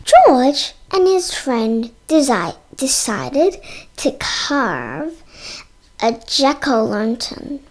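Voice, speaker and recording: Female read-aloud narrator, high and lively pitch, halting delivery of a children's storybook. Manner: halting reading, stumbles over words and sounds them out. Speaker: storybook reader.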